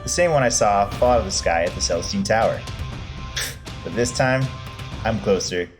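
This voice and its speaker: An actor playing a friendly, bumbling dwarf warrior, addressing listeners in a folksy audio drama style. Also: male voice, on the low side, moderate pace, over music, lightly acted. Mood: boastful. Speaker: in-character announcer. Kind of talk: in-character audio drama announcements and recap.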